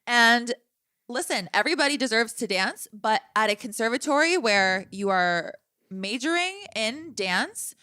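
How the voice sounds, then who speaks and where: A young woman with a bright, crisp voice chats as a podcast co-host. She gives blunt, practical advice with firm emphasis. The recording is dry and close. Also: fairly high pitch, expressive, a little slow, no echo. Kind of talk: casual chat.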